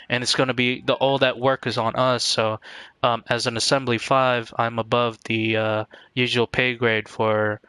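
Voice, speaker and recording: A man giving casual live-stream game commentary in a halting, rambling way, often repeating words as he goes. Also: mid-range voice, pushed and bright, clean tone, no room sound.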